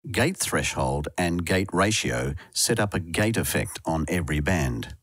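Deep-voiced man narrating a software tutorial. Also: animated, unhurried. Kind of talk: scripted instructional narration. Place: close, dry voice-over recording.

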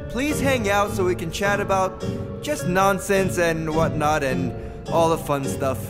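A man's voice: high-pitched, measured pace, over loud music. A man playing a needy, lonely oddball in a dungeon for a video game, rambling on in a clingy, effusive way. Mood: eager, needy. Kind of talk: improvised video game character acting.

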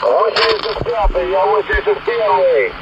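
Man, command post radio operator, formal call signs, high voice, loud hiss behind. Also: huge pitch swings, moderate pace. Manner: formal radio protocol, repeated acknowledgements. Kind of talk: military radio exchange. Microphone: marine VHF radio. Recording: muffled noisy radio intercept.

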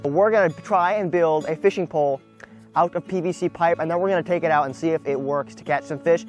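A man's voice: on the high side, fairly quick, soft background music. A young American man presenting a casual vlog to camera, talking emphatically as he demonstrates a build. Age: young adult. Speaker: video creator, presenter. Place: outdoors by a wooden barn and gravel drive.

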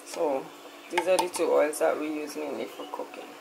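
A woman's voice: low voice, fairly even intonation, normal speed, vocal fry, very noisy. A woman talking casually through a grocery haul, chatty, often ending lines with a questioning "right?". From Trinidad. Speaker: lifestyle vlogger.

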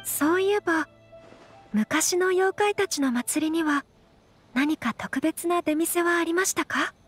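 A woman's voice: very high voice, measured pace, soft background music. A woman voicing a polite, refined young noblewoman in an anime game, speaking in a formal manner.

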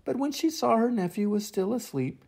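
An older man reading a children's picture book aloud as an expressive storyteller. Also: extremely high pitch, normal speed, vocal fry, breathy edge. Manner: expressive, acts out sound effects and voices.

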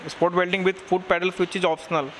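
A young engineer walks through a machine demonstration in a fairly high, flat voice, stopping and restarting as he explains. The workshop recording carries a clear hum of air conditioning behind him. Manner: plain, halting explanation with fillers and restarts. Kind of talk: product demonstration. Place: workshop with machine on a green bench. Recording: noisy workshop room audio.

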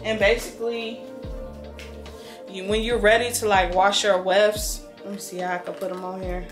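A woman's voice: medium pitch, little pitch movement, moderate pace, soft background music. Casual American woman vlogging, thinking aloud. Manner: conversational, rambling, thinking aloud. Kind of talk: casual chatty unboxing vlog. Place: small room indoors at home.

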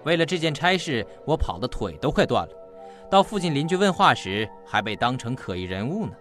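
A man's voice: medium pitch, sing-song, a little fast, a bit bright, soft background music. An actor playing a sly, fawning henchman and shady informant, glib and wheedling, in an audio drama. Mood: reporting glibly. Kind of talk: Chinese audio drama acting.

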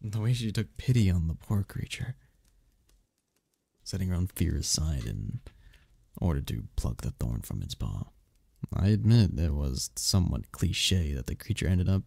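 A man voicing a seductive, possessive demon prince in an ASMR roleplay, with a husky, gravelly voice and a regal, flirtatious manner. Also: rumbling low, warm tone, no echo, heavily acted. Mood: musing, charmed. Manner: regal, slow-savouring, flirtatious.

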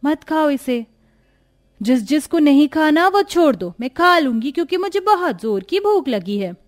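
A woman voicing a hungry, excitable young girl in a cartoon family drama, eager and exclaiming. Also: sits high, normal speed, voice pushed up. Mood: hungry, insistent. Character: hungry, excitable young girls, poor sisters-in-law.

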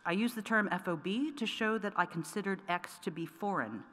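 A middle-aged woman poet reading her work aloud at a lectern microphone, measured and deliberate with pointed emphasis. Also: medium pitch, a bit bright. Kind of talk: poetry reading. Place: large wood-paneled auditorium, at a lectern. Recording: long reverb of a large hall.

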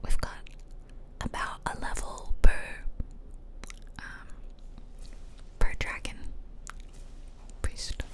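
A woman whispering gently and chattily in ASMR style close to the microphone as she hosts a game playthrough. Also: very slow, pauses often. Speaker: gaming video host. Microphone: close microphone, ear to ear.

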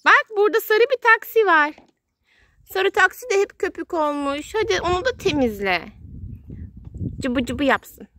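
A woman's voice: extremely high pitch, sing-song, moderate pace, long pauses, sharp tone, no echo, studio quality. A woman narrating play for a small child in short, simple, sing-song exclamations, recorded outdoors. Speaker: children's video host playing with a child. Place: outdoors on grass in a garden.

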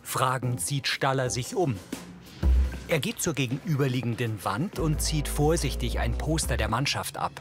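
A man's voice: medium pitch, normal speed, over music. A man reading scripted audio description, narrating the on-screen action of a television drama.

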